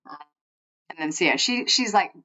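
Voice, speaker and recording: A woman's voice: fairly low pitch, lively intonation, moderate pace, pushed and bright, lo-fi sound. A woman hosting a true crime podcast, narrating conversationally and reading aloud with informal asides.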